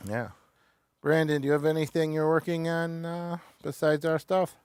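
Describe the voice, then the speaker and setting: A man's voice: fairly high pitch, little pitch movement, moderate pace, a little mellow, smooth, no echo, studio quality. A man with an American accent, hosting a casual talk show in a hesitant, wandering way, often trailing off mid-sentence.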